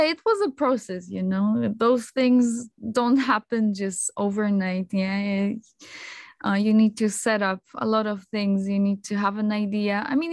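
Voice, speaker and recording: A woman in her thirties from Poland, a native Polish speaker, chatting as a guest on a video call, relaxed and sprinkled with fillers and small laughs. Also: medium pitch, no echo, studio quality. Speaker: guest, kimono stylist and photographer. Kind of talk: casual video-call interview. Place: small room at home.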